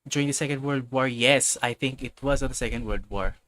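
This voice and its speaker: A man's voice: mid-range voice, brisk, a bit bright. Rambling man chatting on a livestream. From Philippines. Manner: rambling, loses his thread and circles back; frequent restarts and self-corrections. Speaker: livestream host. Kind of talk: casual livestream chat, answering viewers.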